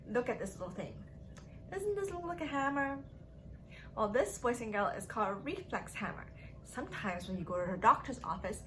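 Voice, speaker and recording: A woman's voice: mid-range voice, a bit bright, a little hiss or hum, low-quality recording. A young woman leading a lesson for small children in a sing-song, exaggerated manner, asking questions and giving praise. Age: young adult. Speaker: children's story time teacher. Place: small furnished room at home.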